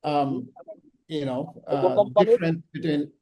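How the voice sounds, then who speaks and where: A man hosting an online business summit, talking casually and haltingly with many ums and uhs over a video call. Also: a little high, lively intonation, warm tone, low-quality recording. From Bangladesh. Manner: hesitant, full of ums and uhs. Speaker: summit host.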